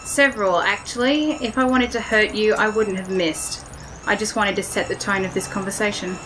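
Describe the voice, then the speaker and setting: A woman acts as a cocky female bomber pilot in a sci-fi audio drama. She is blunt, wry and sure of herself, at an average pitch, over faint background noise. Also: steady tone, normal speed, fairly bright tone, close to an everyday voice, low-quality recording. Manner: wry, blunt, self-assured. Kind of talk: scripted audio drama acting.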